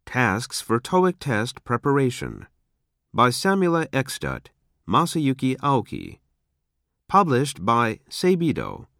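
A man narrating a language textbook recording, announcing titles slowly and clearly. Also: mid-range voice, wildly swooping pitch, fairly bright tone, dry recording, clean studio sound.

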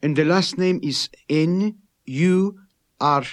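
A man voicing a polite visitor in a scripted textbook dialogue, speaking slowly and clearly. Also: fairly high pitch.

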